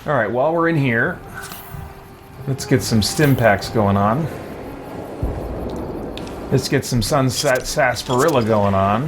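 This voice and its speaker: A man's voice: medium pitch, measured pace, long pauses, background noise. A man giving casual gameplay commentary, thinking aloud to himself with mild folksy exclamations. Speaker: let's play host, gamer.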